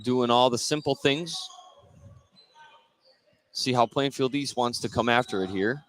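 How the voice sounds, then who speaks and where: A man's voice: medium pitch, unhurried, a bit bright. A man doing live play-by-play radio commentary of a basketball game. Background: indoor basketball game, bouncing ball.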